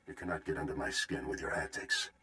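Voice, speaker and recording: Deep, stern Jedi Master. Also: male voice, fairly even intonation, sharp tone. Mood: stern resolve. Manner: measured, formal, defiant. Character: stern, noble male Jedi Master, proud and protective. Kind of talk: video game voice acting.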